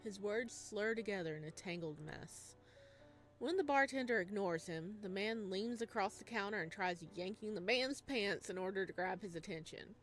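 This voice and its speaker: A woman gaming streamer reading a visual novel aloud, with expressive narration and playful, casual asides. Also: mid-range voice, moderate pace, faint music behind.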